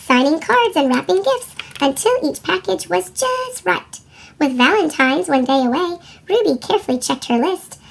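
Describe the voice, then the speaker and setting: Squeaky chipmunk-voiced woman storyteller. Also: animated, moderate pace, creaky, slight background noise. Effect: sped-up chipmunk pitch shift. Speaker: read-aloud storyteller for children. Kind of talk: children's picture book narration.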